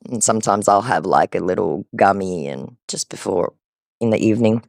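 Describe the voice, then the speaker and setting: A man's voice: on the low side, normal speed, creaky, dry recording, clean studio sound. A man chatting casually on a call, explaining things in a conversational, talkative way. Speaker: scambaiter on a call with a scammer. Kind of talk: casual phone chat.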